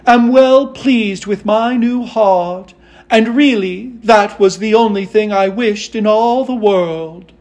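A man voicing a sentimental, tender-hearted tin man in a storybook, with an earnest, drawn-out delivery. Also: high voice, a little mellow, pitched above the performer's own voice.

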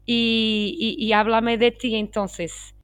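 A woman's voice: a little high, flat delivery, slow, clean tone, no echo. Heartfelt Portuguese woman speaking Spanish. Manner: heartfelt, repeats words as she searches for them. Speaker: guest pharmacist. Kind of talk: casual conversation.

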